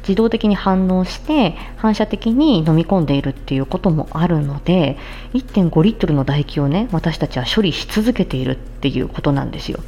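Woman explaining casually in a dark, mellow voice. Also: on the low side, lively intonation, normal speed, muffled recording, slight background noise. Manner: conversational, explanatory, addresses listeners directly. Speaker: podcast host, speech-language therapist. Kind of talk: casual health explainer talk.

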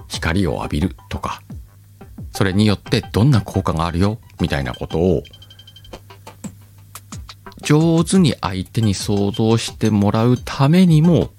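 Solo podcast host, male, low register, swooping delivery, self-mocking ramble over faint background music. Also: darkish tone. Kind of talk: casual solo chat.

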